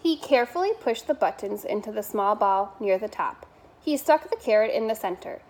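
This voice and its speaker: A woman's voice: mid-range voice, lively intonation, moderate pace, darkish tone. A woman reading a children's bedtime story aloud as a member of school staff.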